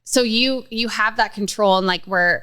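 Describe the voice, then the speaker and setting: A woman's voice: mid-range voice, normal speed, sharp tone, smooth, no room sound, clean studio sound. A young woman co-hosting a podcast in casual chat, candid and swearing casually. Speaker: podcast co-host.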